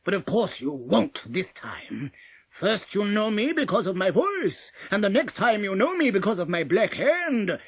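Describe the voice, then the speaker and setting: A woman's voice: mid-range voice, big pitch swings, a little slow, slightly rough, no room sound, voice pushed up, full character voice. A woman as a harsh, blustering storybook wolf. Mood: scheming. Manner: blustering, plaintive, emphatic. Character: scheming, gullible, easily exasperated male storybook wolf.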